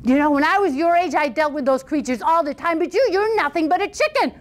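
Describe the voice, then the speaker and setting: A woman's voice: high-pitched, animated, fast, voice pushed up. A stern woodcutter father of sixty scolds his sons with open scorn, as a woman storyteller plays him. His voice stays close to the teller's own, with a lecturing, disappointed edge. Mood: scolding.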